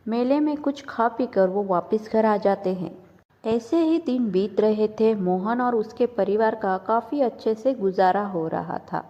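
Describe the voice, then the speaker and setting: A woman's voice: medium pitch, animated, measured pace, dark tone. Female narrator for scripted cartoon moral tales, mid-range voice, lo-fi sound in a big reverberant space. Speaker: story narrator. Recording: dull, lo-fi sound with long reverb.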